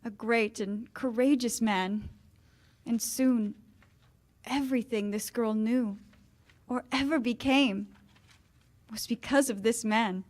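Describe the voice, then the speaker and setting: A woman playing an earnest romantic heroine in a 1940s radio drama. The voice is fairly high and slow, with a hesitant, pleading manner. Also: steady tone. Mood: earnest, wistful. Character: earnest, pained, romantic young woman, former lover.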